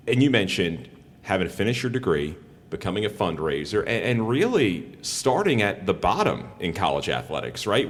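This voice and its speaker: Very deep-voiced male interviewer, rumbly background. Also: big-room sound, a little hiss or hum. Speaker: sports broadcaster and interviewer. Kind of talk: podcast interview questioning.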